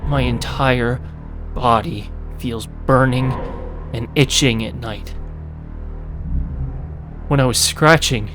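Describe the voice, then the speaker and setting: A man's voice: mid-range voice, lightly acted. A man giving a dramatic reading as an animal keeper writing a diary while he sickens in a horror game. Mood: agitated, distressed. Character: male animal keeper diarist, sickening into a feral zombie.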